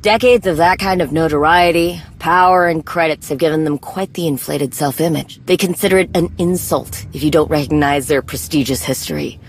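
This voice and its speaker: Game warrior woman: low-pitched, blunt, dry, proud, no time for niceties. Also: brisk, hardly any pauses, a little hiss or hum. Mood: wry, analytical. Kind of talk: video game cutscene acting.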